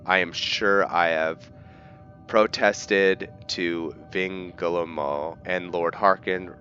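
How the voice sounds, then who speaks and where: A man giving casual video game commentary and reading in-game text aloud, halting and stumbling over words. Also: low-pitched, fairly even intonation, bright, forceful tone, soft background music. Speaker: video game let's player. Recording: dull lo-fi mic, light background noise.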